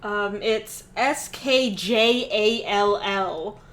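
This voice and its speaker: A woman's voice: mid-range voice, extremely slow, a bit bright. A woman hosting a tabletop game on a livestream, chatting casually in a playful, joking way and laughing readily. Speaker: game master and stream host.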